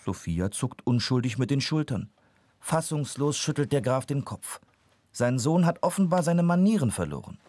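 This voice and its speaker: A man's voice: medium pitch, big pitch swings, moderate pace, dark tone, dry recording. A man giving scripted narration for a children's audio drama.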